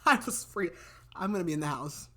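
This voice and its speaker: Chatty woman podcast co-host, lowish voice. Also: expressive, brisk, noisy background. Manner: chatty, laughs often, trails off mid-sentence. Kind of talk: casual chat.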